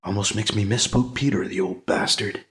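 A man voicing a wry, sarcastic male character in a story, halting and joking through his unease. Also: on the low side, voice pushed down, natural voice. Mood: bitter musing. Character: wry, sarcastic man, uneasy but putting on good cheer.

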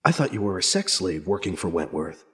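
A man's voice: sits low, sing-song, brisk, slightly rough, dry recording, clean studio sound, pitched below the performer's own voice, full character voice. A man voicing a wary male fugitive who hesitates and stammers, in an audiobook. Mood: uneasy.

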